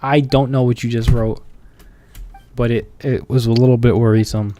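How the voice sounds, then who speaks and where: A man's voice: medium pitch, steady tone, moderate pace, mellow. A young man giving casual, joking, slangy commentary as he streams a video game.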